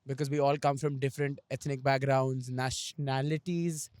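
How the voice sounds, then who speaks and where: A man's voice: mid-range voice, flat delivery, moderate pace, a little mellow, dry recording, clean studio sound. A young man with an Indian English accent, leading a casual group conversation as host with jokey asides. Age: young adult. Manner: talkative, leads the discussion, jokey asides. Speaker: podcast host.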